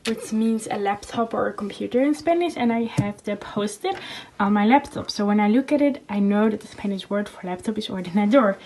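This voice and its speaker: A woman's voice: on the high side, darkish tone, slight background noise. A young woman, a Dutch native speaker, casually sharing study tips in a home vlog with lots of fillers. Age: young adult. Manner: chatty, many fillers. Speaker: student vlogger. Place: small room at home. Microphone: phone camera.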